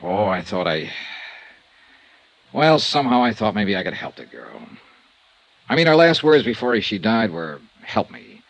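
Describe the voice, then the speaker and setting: An actor playing a tough, plain-spoken insurance investigator in a 1950s radio detective drama, narrating and talking in a clipped, matter-of-fact way. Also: male voice, lowish voice, sing-song, a little slow, long pauses, no room sound. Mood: uneasy.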